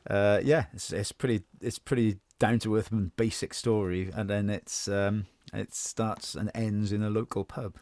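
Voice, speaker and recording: A man's voice: on the low side, steady tone, normal speed, few pauses, darkish tone, no echo. A man chatting casually and enthusiastically as an actor and writer. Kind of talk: casual chat.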